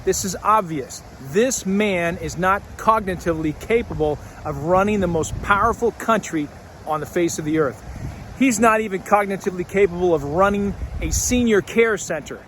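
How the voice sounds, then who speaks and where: Male host, fiery political commentator, high-pitched and emphatic. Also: normal speed, fairly bright tone, slight background noise.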